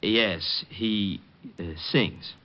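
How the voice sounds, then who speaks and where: A man's voice: fairly low pitch, very slow. An actor playing a fussy, vengeful grouch of a man in an old-time American radio drama, muttering and flustered. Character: fussy, exasperated, vengeful male grouch of a neighbour. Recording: muffled, noisy old radio broadcast.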